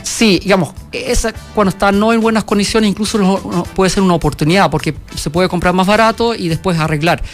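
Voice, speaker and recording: A man from Germany with a German accent, a company founder answering questions in a radio interview, halting and full of fillers and restarts. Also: high-pitched, faint music behind. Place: radio studio.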